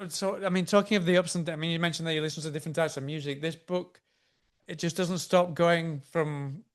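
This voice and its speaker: A man's voice: fairly high pitch, fairly even intonation, fairly quick. A male interviewer asking long, rambling questions with repeated words and giving frequent short agreements. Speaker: interviewer host.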